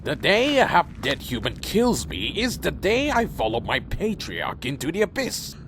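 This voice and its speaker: High-pitched, brooding winged vampire commander played by a man. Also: wildly swooping pitch, normal speed, background noise. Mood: grim, dry. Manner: formal, brooding, eloquent. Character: weary, dutiful, proud winged vampire clan leader. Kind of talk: fan audio drama narration and dialogue.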